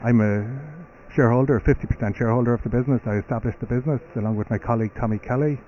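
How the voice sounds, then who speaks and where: A man's voice: mid-range voice, rapid-fire. Irish guy middle-aged, muffled mic, faint hum behind, keeps saying you know. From Ireland. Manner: repeats words, many "you know" fillers. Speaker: company CEO guest. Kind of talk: radio interview.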